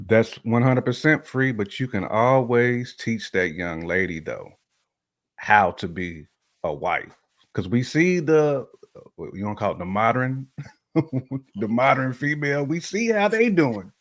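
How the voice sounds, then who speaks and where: A man's voice: medium pitch, very animated, measured pace. A man giving his opinions in casual conversation, emphatic and repeating phrases to drive a point home. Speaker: podcast guest panelist giving opinions.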